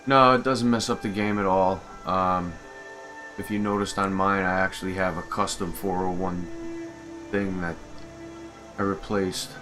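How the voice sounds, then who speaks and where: A man's voice: low voice, fairly even intonation, measured pace, pushed and bright. A man giving casual gameplay commentary as a modder, informal and muttering to himself. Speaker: game modder and video host. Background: video game music.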